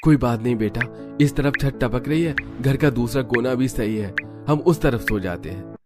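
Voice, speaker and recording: A man's voice: medium pitch, moderate pace, music in the background, natural voice. A man voicing an earnest, protective young husband in a cartoon family drama. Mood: reassuring.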